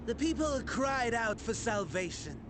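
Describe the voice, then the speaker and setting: Theatrical mocking schemer; high-pitched, unhurried, over game music. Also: male voice, very animated, noisy background, full character voice. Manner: sneering, theatrical, playful mockery. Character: gleeful mocking male villain, theatrical schemer. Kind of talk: video game cutscene acting. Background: orchestral game score.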